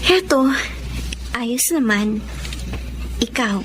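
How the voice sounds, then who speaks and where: A woman's voice: a little high, expressive, drawn-out words, noisy background. An actress playing a sweet, timid young woman in love, hesitant and pleading, in a radio drama. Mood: soft, tender. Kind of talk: Filipino radio drama acting.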